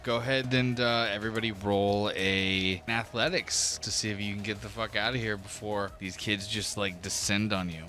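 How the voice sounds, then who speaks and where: A man's voice: on the low side, fairly even intonation, normal speed, hardly any pauses, bright, forceful tone, faint music behind. A man narrating a tabletop fantasy game as game master, in a casual manner. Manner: casual, swearing.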